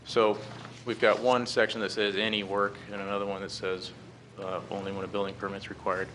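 A middle-aged American man delivers a formal briefing in a measured, halting way. His voice sits low and barely moves in pitch. There is clear noise over the meeting-room recording. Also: little pitch movement. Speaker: presenter to a city council. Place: council chamber, standing at a podium. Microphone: podium gooseneck microphone.

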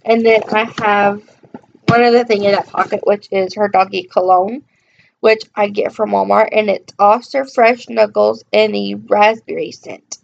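A woman's voice: medium pitch, steady tone, a little slow, vocal fry. A young woman vlogging casually in her bedroom, chatty and rambling with frequent ums, showing items to a close webcam. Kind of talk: casual show-and-tell vlog. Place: bedroom, indoors. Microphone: webcam at close range. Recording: dull lo-fi webcam audio with faint hiss.

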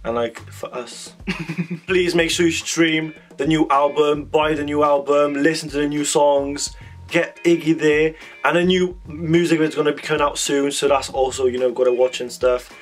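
A hoarse young man chatting excitedly in a small bedroom while reacting to a song, talking into a webcam with lots of "like" and quick giggles. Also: on the high side, soft background music. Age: young adult. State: hoarse, voice strained from screaming. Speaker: music reaction vlogger. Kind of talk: casual reaction chat. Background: song playing quietly.

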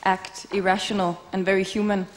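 A woman's voice: medium pitch, flat delivery, a little fast. Young woman actress explaining eagerly, echo of a large hall. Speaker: film actress at a press conference. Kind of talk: press conference answers. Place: large press conference room. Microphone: table microphone.

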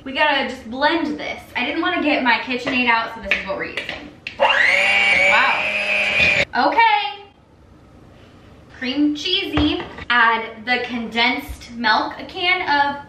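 A young woman talking casually as she cooks in her home kitchen, chatting and teasing herself along the way. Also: sits high. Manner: chatty, self-teasing, talks while cooking. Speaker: cooking vlogger. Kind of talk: casual cook-along chat. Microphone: camera on the counter, at some distance. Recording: echoey kitchen with faint room noise.

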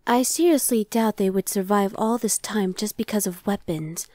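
A woman's voice: medium pitch, moderate pace, dark tone, no echo, natural voice. A woman voicing an impatient, sardonic female demon scout in an ASMR roleplay, talking in a conversational, dismissive way. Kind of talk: ASMR roleplay, one-sided dialogue.

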